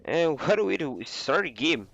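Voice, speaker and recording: A young man with the flu, casually commentating a video game on his own, with a lot of filler pauses. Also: fairly high pitch, big pitch swings, gravelly, fairly bright tone, no echo, muffled recording. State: sick with flu. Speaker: esports caster.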